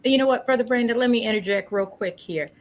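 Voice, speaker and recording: A woman's voice: fairly high pitch, quick pace. Encouraging woman host, faint background noise. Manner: encouraging, courteous. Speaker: host of a community religious program. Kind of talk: informal hosting and interviewing.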